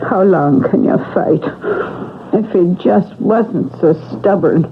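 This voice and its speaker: Devoted Irish housekeeper, emotional and pleading, old radio drama, thin hissy sound. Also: female voice, on the low side, slow, narrow, tinny audio. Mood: tender, distressed. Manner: pleading, effusive, endearing address.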